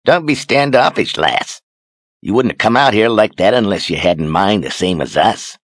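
A man's voice: on the low side, expressive, moderate pace, no room sound, studio quality, voice pushed up. A man voicing a crude, leering sailor with a mocking, sneering tone.

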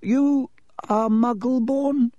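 A feeble, quavering old wizard. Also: male voice, very high-pitched, fairly even intonation, mellow, dry recording, lo-fi sound, voice pushed up. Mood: kindly. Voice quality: thin, quavering. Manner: slow, fussy and kindly. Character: frail, feeble old male wizard headmaster. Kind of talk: audiobook character voice.

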